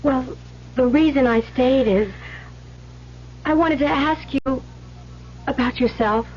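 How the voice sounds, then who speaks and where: An actress playing a gentle, earnest former nun in an old radio drama, hesitant and polite. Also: female voice, sits high, slow pace. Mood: gently probing. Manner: hesitant, polite, soft-spoken. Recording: muffled, noisy vintage radio recording.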